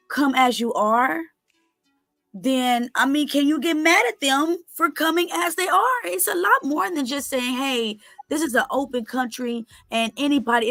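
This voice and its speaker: A woman's voice: a little high, a bit bright, dry recording. A woman giving her opinions in casual commentary, rambling from point to point.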